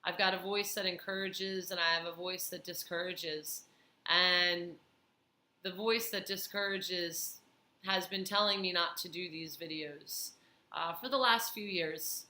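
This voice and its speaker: A tired woman talking slowly and thoughtfully in a personal vlog, pausing often with fillers. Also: fairly low pitch, fairly even intonation, pushed and bright, reverberant. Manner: slow, thoughtful, with many pauses and fillers. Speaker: vlogger.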